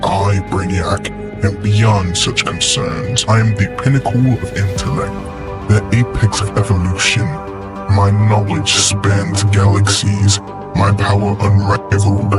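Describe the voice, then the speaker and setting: A man playing a cold, grandiose alien machine intellect out to conquer, speaking pompously and deliberately in an audio drama. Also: on the low side, level tone, loud music behind the voice, natural voice. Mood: haughty contempt. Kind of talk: audio drama villain monologue.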